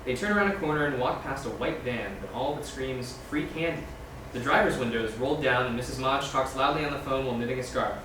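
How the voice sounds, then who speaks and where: A man's voice: mid-range voice, fast talker. A man reading scene directions as scripted narration at a screenplay table read. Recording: distant, very echoey room with background noise.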